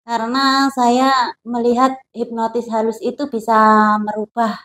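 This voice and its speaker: A woman from East Java answering interview questions with short, plain replies and soft affirmations. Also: on the high side, little pitch movement, unhurried. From East Java, Indonesia. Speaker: guest, hypnosis alumna.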